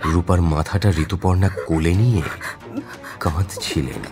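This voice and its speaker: A man's voice: low voice, measured pace, music in the background. A man narrating a crime audio story in a dramatic, scripted style.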